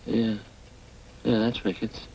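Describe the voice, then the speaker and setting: An American man playing a good-natured, grumbling veteran police detective in an old radio drama, gravelly and casual. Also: fairly low pitch, completely flat delivery, slow, darkish tone. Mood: weary, grumbling. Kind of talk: 1940s-50s radio drama acting. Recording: slightly noisy old radio recording.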